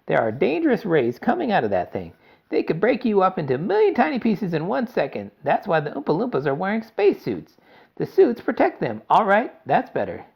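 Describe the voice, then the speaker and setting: A man reading aloud and voicing an eccentric, excitable male chocolate maker in a high theatrical voice. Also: brisk, vocal fry, husky, exaggerated voice. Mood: excited. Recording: dull lo-fi recording.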